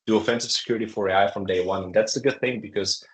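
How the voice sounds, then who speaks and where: Man, low voice, echoey room. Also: steady tone. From Croatia. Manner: halting, with frequent fillers and restarts. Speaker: startup founder and CEO. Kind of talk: conversational interview.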